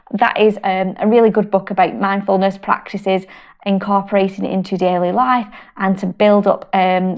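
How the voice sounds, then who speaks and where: A British woman reading a picture book aloud to children in a gentle, expressive way, rising high on her questions. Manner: slow, gentle and expressive, with big pitch lifts on questions. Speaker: storyteller and wellbeing educator.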